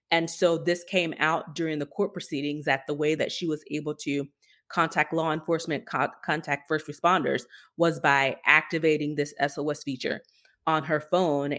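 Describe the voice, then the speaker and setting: A woman's voice: sits low, fairly bright tone. A woman co-hosting a podcast, talking through tech news in an earnest, explanatory and conversational way. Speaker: podcast co-host.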